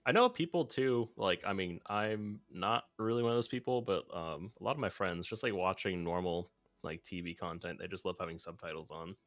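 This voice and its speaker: A young man co-hosting a stream, chatting casually in a halting, laid-back way on a close mic. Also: sits low, a bit bright, dry recording, soft background music. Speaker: video editor co-hosting a stream. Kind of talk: casual chat.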